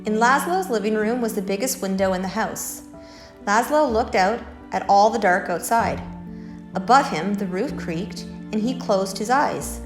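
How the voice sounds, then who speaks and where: A woman's voice: mid-range voice, a little slow, echoey room, music in the background. A woman hosting a children's story time, reading picture books and talking in simple, encouraging words to young listeners. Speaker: library story-time host.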